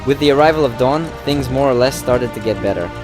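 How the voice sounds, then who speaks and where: A man narrating his own running challenge as a reflective voice-over, in a steady and earnest way, recounting events step by step. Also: mid-range voice, quick pace. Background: music.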